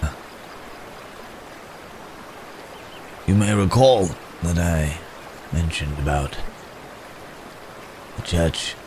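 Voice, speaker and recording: Man acting a very deep, weary wounded werewolf. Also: noisy background. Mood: reassuring, weary. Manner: halting, hesitant, with pained sighs and hums. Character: wounded, gentle cursed werewolf man, weary but reassuring. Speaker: audio roleplay performer. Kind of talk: ASMR roleplay acting.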